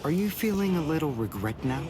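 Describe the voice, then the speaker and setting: A man's voice: fairly high pitch, sing-song, fairly quick, over music. A man voicing a proud young warrior in an anime game, composed, formal and condescending. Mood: cold disdain. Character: cool, proud young male archer warrior, disdainful and precise. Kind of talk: anime game cutscene dubbing.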